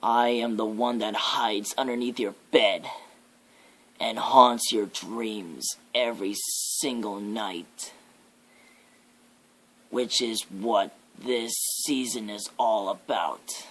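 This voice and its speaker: A menacing demonic embodiment of fear and death, performed by a man in an ASMR horror roleplay. The delivery is very unhurried and ominous, with drawn-out pauses between phrases. Clear background noise runs under the voice. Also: lowish voice, fairly even intonation, a bit bright, poor audio. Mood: ominous, hushed.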